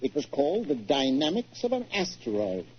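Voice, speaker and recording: An actor playing a courteous, bewildered English titled gentleman in a 1940s radio drama, with a husky voice and British accent. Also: on the high side, huge pitch swings, normal speed, full character voice. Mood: recalling. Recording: dull, lo-fi 1940s radio recording.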